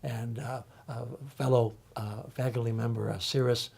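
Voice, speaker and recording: Older man panel guest, mellow tone, reflective and halting, lots of ums. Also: mid-range voice, level tone, vocal fry, husky. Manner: thoughtful, halting, many ums and uhs. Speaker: panel guest, college faculty member.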